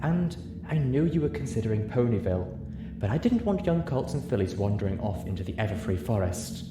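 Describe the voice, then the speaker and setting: Elder pony princess voiced by a man: regal, gentle, teasing big-sister manner; storybook read in a roomy space. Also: lowish voice, big pitch swings, fairly quick. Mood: reassuring. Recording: echoey room with clear background noise.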